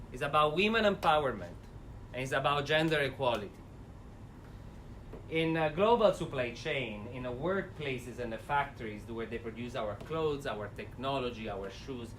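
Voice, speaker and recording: A man with a thick Italian accent giving an energetic, interactive seminar talk, standing and speaking without a microphone. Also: mid-range voice. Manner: energetic, addresses audience directly. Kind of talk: interactive lecture. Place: university seminar room. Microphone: no mic, standing away from recorder. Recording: distant voice with faint room noise.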